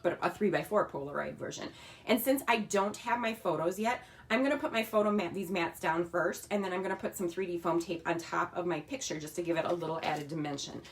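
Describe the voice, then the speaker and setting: Chatty woman demonstrating a craft kit. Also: medium pitch. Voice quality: slightly raspy. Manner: chatty, talks while working with her hands. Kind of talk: casual crafting tutorial. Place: home craft room.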